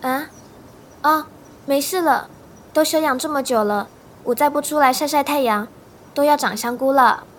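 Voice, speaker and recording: A woman's voice: high-pitched, moderate pace, pauses often, slight background noise, lightly acted. A woman playing a good-natured young woman in an audio drama, casual and lightly joking. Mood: easygoing.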